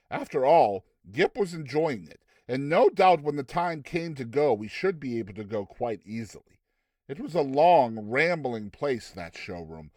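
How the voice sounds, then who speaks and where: A man giving a dramatized audiobook narration, theatrical and expressive, with deliberate phrasing. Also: mid-range voice, no room sound, clean studio sound. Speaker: narrator of short story.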